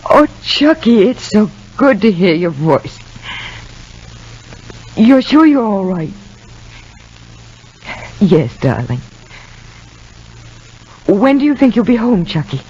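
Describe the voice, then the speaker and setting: A woman's voice: medium pitch, very animated, slow, long pauses, mellow, slightly rough, heavily acted. An actress playing a shaken, worried mother in a 1950s radio soap opera, speaking in an earnest, fretful way. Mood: anxious, relieved. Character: anxious, shaken mother worried about her young son. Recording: dull, muffled vintage radio recording.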